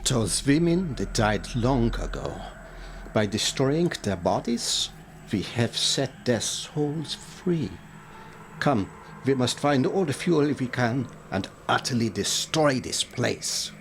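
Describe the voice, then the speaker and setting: An actor playing a resolute older professor and vampire hunter, speaking formally and with command in an audio drama. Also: male voice, medium pitch, big pitch swings, takes it slowly, a little hiss or hum. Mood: solemn. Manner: formal, commanding, tags questions with "yeah".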